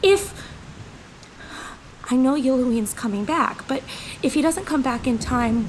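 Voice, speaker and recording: High-voiced, anxious teenage girl. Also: measured pace, slight background noise, rough recording. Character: anxious, determined teenage girl on a fantasy quest. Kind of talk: audio drama acting.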